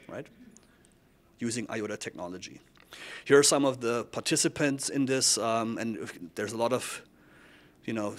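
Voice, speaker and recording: German-accented man giving a presentation. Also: mid-range voice. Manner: frequent questioning tags like "yeah?" and "right?". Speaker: technology foundation speaker giving a presentation. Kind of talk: conference presentation, explanatory.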